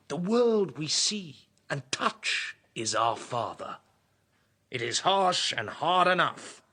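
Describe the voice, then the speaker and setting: Proud, husky young tyrant king. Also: medium pitch, bright, forceful tone, full character voice. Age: young adult. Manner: imperious, declamatory. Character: proud, harsh, contemptuous young king, hostile to religion. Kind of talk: intense classical radio drama acting.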